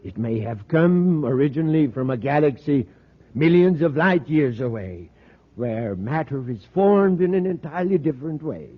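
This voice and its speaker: High, husky excitable radio scientist. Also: male voice, moderate pace. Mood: intense, explanatory. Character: earnest, excitable middle-aged male scientist professor. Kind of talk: 1950s radio drama acting. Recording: muffled tinny vintage radio recording.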